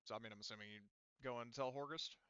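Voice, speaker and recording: A man runs a casual tabletop roleplaying game as game master. His voice is crisp and forward, with a halting way of talking as he confirms details. The sound is dry and a little muffled. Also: medium pitch, steady tone, pushed and bright, dry recording. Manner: halting, checking details back with players.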